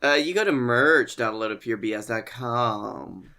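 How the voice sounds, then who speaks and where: A man with a bright, forward voice talks as a comedy podcast co-host. He rambles through casual anecdotes and plugs with wry, salty asides. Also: medium pitch, moderate pace.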